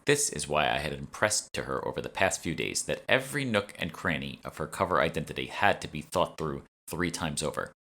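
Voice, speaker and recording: Low-voiced man narrating an audiobook. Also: expressive, fairly quick, hardly any pauses, bright, forceful tone. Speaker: author reading his own book. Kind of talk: scripted first-person audiobook narration.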